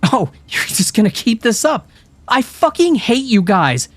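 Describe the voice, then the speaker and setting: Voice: mid-range voice, sing-song, measured pace, no room sound, pitched above the performer's own voice. A man voicing a furious teenage girl who screams in a manic, tearful rage. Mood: furious screaming.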